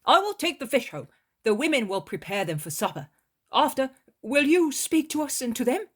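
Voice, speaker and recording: A woman voicing an excitable male fisherman who talks out of breath and with urgency. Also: high-pitched, normal speed, slightly rough, pitched above the performer's own voice, exaggerated voice. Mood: eager. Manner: urgent, agitated.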